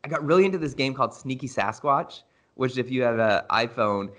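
A man with a mid-range voice hosting a relaxed podcast conversation. He talks enthusiastically and loosely, with plenty of fillers and hedges as he gathers his thoughts. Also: medium pitch, fairly quick. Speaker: podcast host. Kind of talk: casual interview chat.

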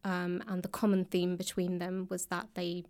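A woman answering as a guest in a podcast interview, speaking conversationally in a thoughtful, hesitant way with frequent pauses. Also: lowish voice, monotone, darkish tone. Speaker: guest author and actor. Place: library room.

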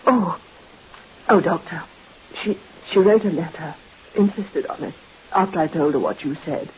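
A woman's voice: fairly low pitch, normal speed, natural voice. A woman playing an anxious, proper nurse in vintage radio drama, formal and earnest. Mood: grieving, shaken. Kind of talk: 1950s radio drama acting. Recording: dull old radio recording with hiss.

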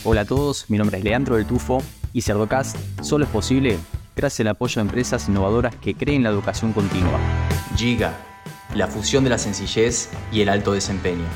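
A man reading a scripted podcast intro and sponsor list in a smooth announcer manner. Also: fairly low pitch, fairly quick. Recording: voice over guitar music.

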